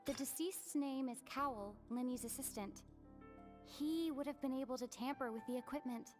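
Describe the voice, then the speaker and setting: A woman voicing an earnest young detective in an anime game, reasoning aloud in a thoughtful, deliberate way. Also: high-pitched, faint music behind, close to an everyday voice. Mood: resolute, analytical.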